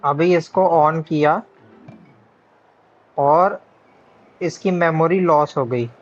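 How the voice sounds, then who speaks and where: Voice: mid-range voice, fast. A livestreamer with an Indian accent giving casual gaming commentary, explaining informally step by step with frequent check-ins. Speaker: game livestreamer. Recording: dull microphone over faint game music.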